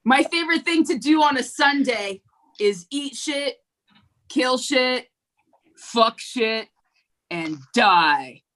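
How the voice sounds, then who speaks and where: A woman performing stand-up one-liners at an online open mic, with a slow deadpan delivery and giggles between jokes, heard over a video call. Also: sits high. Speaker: stand-up comedian.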